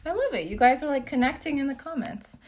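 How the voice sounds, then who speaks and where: A young woman from Japan chatting casually through a livestream Q&A, reading comments aloud while doing her makeup, relaxed and full of fillers. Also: high-pitched, fast. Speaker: beauty vlogger.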